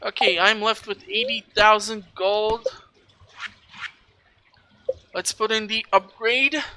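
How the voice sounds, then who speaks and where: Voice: medium pitch, fairly even intonation, slow pace, noisy background. A gaming video host giving casual let's play commentary, chatty and rambling while thinking aloud. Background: video game music.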